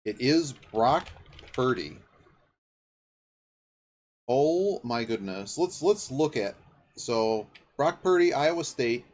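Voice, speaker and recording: A man's voice: mid-range voice, lively intonation, slow pace, long pauses, darkish tone. A man hosting a sports podcast, giving casual off-the-cuff football commentary and thinking aloud in a rambling way. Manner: rambling, thinking aloud, checking facts mid-sentence.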